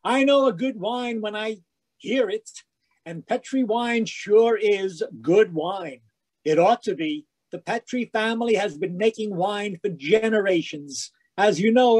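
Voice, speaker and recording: A man playing an eager, cheery 1940s radio announcer and sponsor pitchman. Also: a little mellow. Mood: eager, chummy, then salesmanlike.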